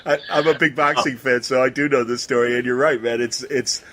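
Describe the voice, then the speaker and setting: A man's voice: mid-range voice, steady tone, brisk, a bit bright, slight background noise. A man interviewing someone casually, talking in an enthusiastic, rambling way full of digressions. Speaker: podcast interviewer.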